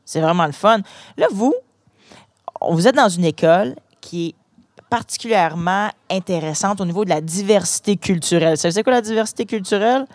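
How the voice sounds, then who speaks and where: A woman's voice: mid-range voice, a little fast, no room sound. Woman, Canadian French accent, host, chatty, restarts phrases, dry sound. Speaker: host interviewing schoolchildren. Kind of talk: casual interview. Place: inside a parked truck.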